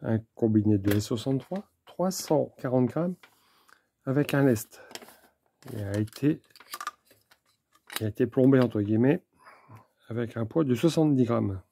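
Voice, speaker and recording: A man presenting an unscripted technical demonstration, speaking methodically in short, halting phrases. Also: medium pitch, lively intonation. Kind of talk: unscripted hands-on explanation. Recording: clear background noise with clicks.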